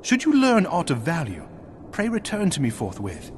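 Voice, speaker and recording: Casting: gracious lord of a knightly outpost, fantasy game; formal old-world diction, highly varied intonation. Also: male voice, huge pitch swings, normal speed, a little hiss or hum. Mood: gracious, warm. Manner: formal, archaic, courtly phrasing. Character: earnest, gracious nobleman commanding a snowbound knightly outpost. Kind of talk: scripted fantasy game dialogue.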